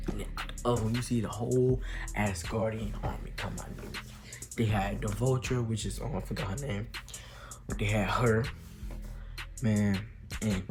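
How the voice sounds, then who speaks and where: A young man with an American accent giving a casual, slangy movie recap with emphatic, excited retelling. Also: lowish voice. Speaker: movie reviewer.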